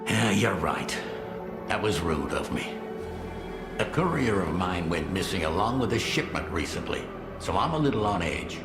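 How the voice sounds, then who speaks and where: A gruff, suspicious game trader with a low voice that swings lively from curt to sardonic. The room echoes around him and music plays behind. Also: male voice, moderate pace. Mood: businesslike, edgy. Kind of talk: video game dialogue. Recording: roomy echo, music behind.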